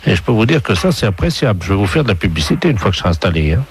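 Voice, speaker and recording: A man's voice: on the low side, very animated, rapid-fire, slight background noise. A man playing an earnest, polite insolvent bank customer who rambles gratefully and insistently on a prank phone call. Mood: grateful, effusive.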